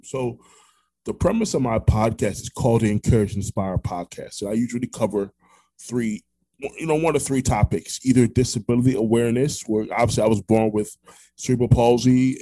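A man with an American accent hosting a casual interview podcast, repeating words as he goes in a halting way. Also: mid-range voice, mellow, breathy edge, poor audio. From Orlando, Florida, United States. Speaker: podcast host.

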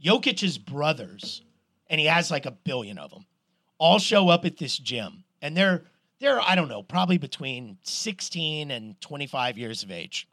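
A middle-aged man co-hosting a podcast, telling stories in an animated, swearing, casual way. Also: on the high side, moderate pace, no echo. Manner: animated storyteller, swears, restarts a lot. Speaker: podcast co-host. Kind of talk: casual sports chat.

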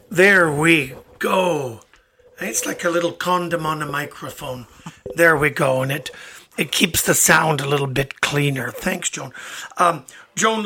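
A man with a slightly raspy voice, chatting informally as the host of a live radio interview show. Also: a little high, sharp tone. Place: radio studio. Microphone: studio microphone.

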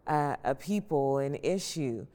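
A woman's voice: very deep voice, animated, measured pace, mellow. A woman hosting a panel discussion, putting questions to fellow journalists in a conversational way. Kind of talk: conversational interviewing. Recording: echoey hall-like reverb.

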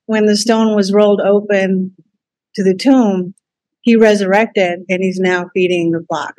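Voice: mid-range voice, darkish tone, dry recording, rough recording. A co-host in a casual Bible study talk, earnest and encouraging, rambling a little.

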